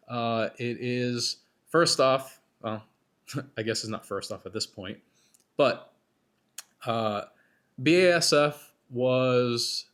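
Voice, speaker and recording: A man hosting a podcast, talking casually and a bit self-critically with lots of restarts, his voice worn and hoarse from being sick. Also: fairly low pitch, fairly bright tone. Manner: rambling, self-critical, many restarts and fillers. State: losing his voice, getting over being sick. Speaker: podcast host. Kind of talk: casual solo commentary between interview segments.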